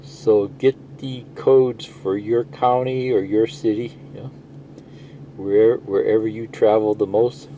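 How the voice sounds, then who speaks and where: A man's voice: on the low side, steady tone, slow, warm tone, a little hiss or hum, poor audio. A middle-aged man giving a casual, rambling, plain-spoken hands-on walkthrough of a gadget, explaining as he goes. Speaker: CB radio video presenter.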